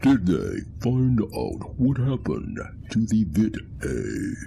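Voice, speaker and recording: A man playing a concerned rabbit officer in an audio drama, speaking in a gruff, subdued, halting way. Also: lowish voice, huge pitch swings, dark tone, slight background noise, heavily acted.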